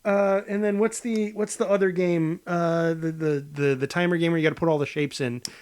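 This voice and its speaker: A man's voice: fairly high pitch, fairly even intonation, fairly quick, darkish tone, clean tone. A man co-hosting a comedy podcast, thinking aloud tentatively in casual, joking brainstorming. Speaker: podcast co-host.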